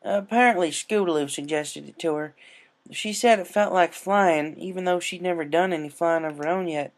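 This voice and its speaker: A man's voice: a little high, normal speed, voice pushed up, close to an everyday voice. A man voicing a folksy, plain-spoken female farm pony who encourages her friends in a cartoon story. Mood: pleased.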